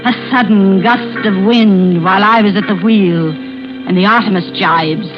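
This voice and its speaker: An actress playing a murderous, scheming widow who taunts with false helplessness in an old-time radio suspense drama. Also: female voice, medium pitch, lightly acted. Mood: mock sorrow. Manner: taunting, theatrical, drawn-out monologue. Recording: muffled vintage radio recording with music.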